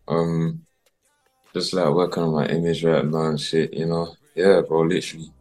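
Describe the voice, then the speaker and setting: A man from South London with a South London accent, a guest musician talking casually in a mellow, slang-filled way. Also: very deep voice, flat delivery, normal speed, soft background music. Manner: mellow, mumbly, full of street slang. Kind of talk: casual interview chat.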